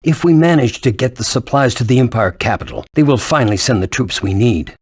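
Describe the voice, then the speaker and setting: Grave military commander. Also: male voice, mid-range voice, lively intonation, breathy edge, no echo. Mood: grave, resolute. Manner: formal, measured. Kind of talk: video game voice acting.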